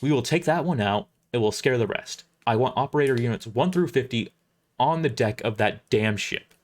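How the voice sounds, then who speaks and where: A man's voice: mid-range voice, lively intonation, moderate pace, lightly acted. A man playing a blunt, wary space fleet admiral giving commands in a sci-fi audio drama. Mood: urgent command.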